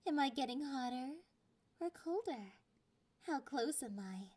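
Woman voicing a high-pitched, teasing catgirl girlfriend. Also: animated, a little mellow. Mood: teasing, taunting. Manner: breathy whispers, drawn-out taunting delivery. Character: clingy, possessive, teasing young catgirl girlfriend, slightly sadistic. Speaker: ASMR roleplay voice actor. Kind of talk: ASMR roleplay, intimate and half-whispered.

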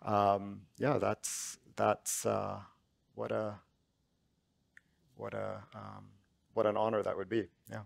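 A man in his fifties, an author on a stage panel, speaking haltingly with many restarts as he searches for words. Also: fairly low pitch, monotone, creaky, dry recording. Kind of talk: reflective panel talk. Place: large auditorium stage.

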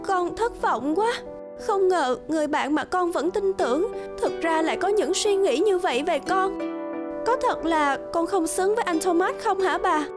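An actress playing a sweet, earnest young bride-to-be in a cartoon, youthful and expressive. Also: female voice, extremely high pitch, moderate pace. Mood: hurt, sad. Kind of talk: cartoon voice acting. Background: music.

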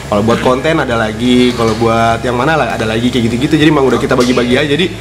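A man's voice: mid-range voice, fairly even intonation, racing. A man chats casually as an interviewed band member, in slang, often acting out imagined remarks. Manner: slangy, acts out imagined quotes. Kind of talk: casual chat.

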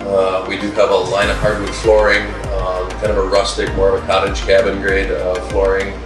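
A man's voice: low voice, completely flat delivery, normal speed, far from the mic. A middle-aged man who owns a lumber business, speaking plainly with lots of "um" fillers in an interview inside a wood-paneled room. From Wisconsin, USA. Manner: plain-spoken, frequent "um" fillers. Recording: roomy sound from off-mic with music behind.

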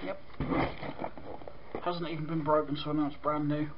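A man from Britain with a croaky voice, casually reviewing a toy set, rambling with lots of ums and clearing his throat. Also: fairly high pitch, level tone, moderate pace, muffled recording, noisy background. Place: indoor room at a desk with toy display.

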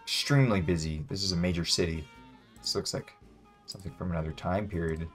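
A man's voice: deep voice, steady tone, quick pace, soft background music. A man in his twenties playing a game and thinking aloud in a hesitant, musing, casual way. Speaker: guest player, beginner. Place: small home room indoors.

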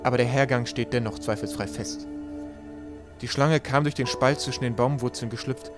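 A man's voice: mid-range voice, fairly even intonation, normal speed, over music. A man reading a storybook aloud as scripted narration. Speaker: audiobook reader.

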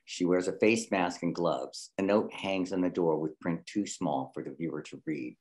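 Man, very low voice, scripted narration, reading stage directions. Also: moderate pace, a little mellow.

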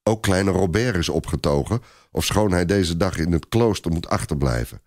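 A male audiobook narrator with a fairly low voice reads a scripted tale dramatically. His pitch rises and falls widely, giving the narration an animated shape. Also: normal speed, no echo, clean studio sound.

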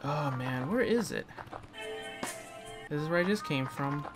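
A man casually commenting on his own gameplay, rambling, chatting to the enemies and breaking into sudden excited outbursts. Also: on the high side, unhurried. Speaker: let's play gamer. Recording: loud game music behind.

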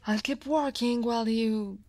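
A woman from Italy voicing a guarded but teasing female dragon office senior in a soft, breathy ASMR roleplay. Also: on the high side, fairly even intonation, normal speed, dry recording, natural voice. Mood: teasing, flustered. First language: Italian. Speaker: voice actor.

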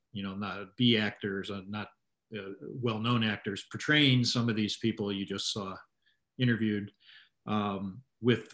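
An older man giving an explanatory interview as an author, speaking haltingly from a room at home over a video call. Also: on the low side, a bit bright. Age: 60s or older. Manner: halting, hesitant delivery with trailing phrases. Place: small room at home, indoors. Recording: roomy, echoey video call sound.

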